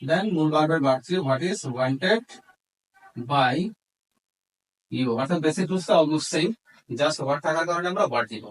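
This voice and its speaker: A man with a Bangladeshi accent and a slightly high voice gives an instructional grammar lesson. He explains step by step, dictating in small pieces and switching between Bengali and English terms. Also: lively intonation, slow pace, pauses often, low-quality recording.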